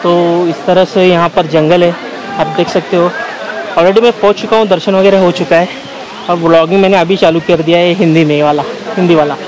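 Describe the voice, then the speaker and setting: A man, casually narrating a travel vlog as he walks, pointing things out to viewers in an unhurried way. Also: high voice, fairly even intonation, a crowd in the background. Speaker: travel vlogger. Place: outdoors on a forested trail by a stream and waterfall. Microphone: handheld camera at arm's length. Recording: noisy outdoors with rushing water.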